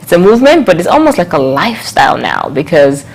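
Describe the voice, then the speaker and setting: A woman hosting a TV interview in a conversational way, rephrasing her questions as she goes and offering soft affirmations. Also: low-pitched, big pitch swings, quick pace, gravelly, slightly rough, poor audio. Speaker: TV interview host.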